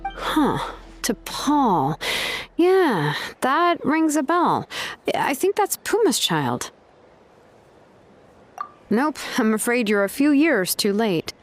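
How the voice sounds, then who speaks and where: A woman's voice: high voice, sing-song, extremely slow, pauses often, soft background music, full character voice. A woman playing a drowsy, laid-back young craftswoman in a video game, languid and dry. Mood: laid-back, musing.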